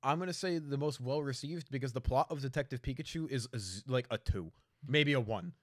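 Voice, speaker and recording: A man's voice: mid-range voice, fairly quick. A man co-hosting a casual debate, speaking in a blunt, contrarian way with casual swearing. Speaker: podcast co-host.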